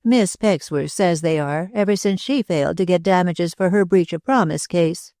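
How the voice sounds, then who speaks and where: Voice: mid-range voice, moderate pace, a little mellow, no room sound, studio quality, close to an everyday voice. A reader voicing a middle-aged society hostess who gushes and babbles with shallow enthusiasm. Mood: gushing. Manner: effusive, babbling, emphatic. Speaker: literary hostess.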